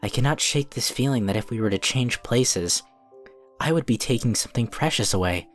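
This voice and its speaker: A man playing a calm, diplomatic cookie wizard who speaks in an earnest, formal, measured way in a fan cartoon drama. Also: medium pitch, faint music behind, lightly acted. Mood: thoughtful. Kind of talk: scripted fan audio drama acting.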